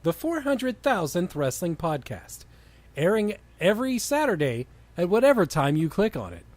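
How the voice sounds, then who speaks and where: A man's voice: high voice, sing-song, moderate pace, darkish tone. A man hosting a comic dramatic story reading, narrating with sardonic, sweary banter. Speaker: podcast host and reader.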